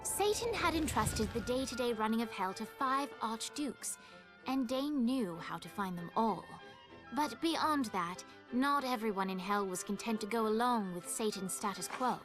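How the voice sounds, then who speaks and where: An actress playing a wry storybook narrator in a video game, telling a darkly comic tale in a measured, arch way. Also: female voice, fairly high pitch, expressive, over music, lightly acted. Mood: arch, storytelling.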